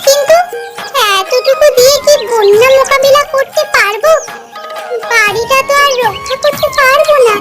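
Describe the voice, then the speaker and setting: A woman's voice: very high-pitched, normal speed, over music. A woman voicing an anxious, pleading wife from a poor village in a cartoon story. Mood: anxious, fearful. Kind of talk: Bengali cartoon story dialogue.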